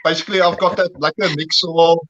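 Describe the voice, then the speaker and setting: South african guy, chill explainer, bit high voice, kinda muffled. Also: level tone, fast talker, crowd noise. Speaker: guest paintball player. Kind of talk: casual chat.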